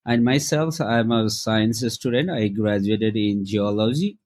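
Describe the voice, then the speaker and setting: Man, Nepali accent, middle-aged, low pitch, halting with fillers, interview guest. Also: a little mellow, slight background noise. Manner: halting, many fillers and restarts. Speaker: guest, travel company founder. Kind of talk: casual interview answers.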